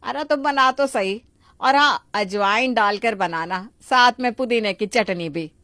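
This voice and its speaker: A woman's voice: a little high, a little slow, fairly bright tone, no room sound, studio quality. A woman voicing a stubborn, greedy older mother-in-law in a moral story, bossy and exclamatory with a whining edge. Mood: insistent. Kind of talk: Hindi moral story voice acting.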